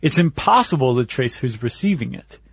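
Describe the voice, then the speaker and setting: A man chats as a podcast co-host, walking through an explanation in informal, slangy terms. His voice sits in a middle pitch. The sound is dry, with next to no room in it. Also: big pitch swings, moderate pace, gravelly, husky, dry recording. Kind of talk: casual explanatory chat.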